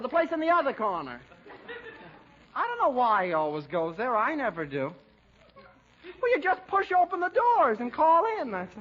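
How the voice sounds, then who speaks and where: A man playing a timid, fussy, whiny young mama's boy in 1940s radio comedy style. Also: extremely high pitch. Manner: whiny, hesitant, prim. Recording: dull, hissy old radio recording.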